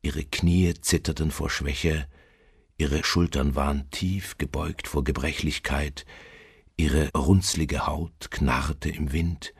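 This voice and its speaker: An actor playing a haunted, doomed seafarer in a literary radio drama, speaking in a slow, brooding, solemn manner with a husky, gravelly voice. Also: male voice, steady tone, no echo, clean studio sound, heavily acted. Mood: eerie awe. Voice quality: very deep, gravelly, husky, at times near whisper. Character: haunted male seafarer recounting his doom aboard a ghost ship.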